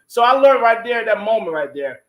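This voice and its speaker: A man chatting casually as a play producer, telling stories in an animated way and acting out bits of conversation. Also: very high-pitched, brisk. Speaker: stage play producer and guest. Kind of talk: casual conversational storytelling at a group interview. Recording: roomy, echoey room sound.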